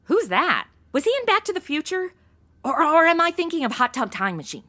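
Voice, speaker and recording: A woman acts a young American valley-girl type in a comedy radio play. The voice is high and swoops around, blunt, casual and easily exasperated. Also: very animated, fairly quick, sharp tone, heavily acted. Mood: puzzled. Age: young adult. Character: flighty, slangy young modern woman, easily rattled. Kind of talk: comedy audio drama acting.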